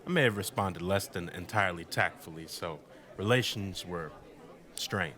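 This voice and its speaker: A man's voice: sits low, normal speed, bright, forceful tone, a faint crowd. An actor playing a wry, self-deprecating husband being interviewed in a radio drama, telling his story in a sardonic, conversational way. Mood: dryly understated. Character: wry, indignant husband recounting blackout night, interviewee.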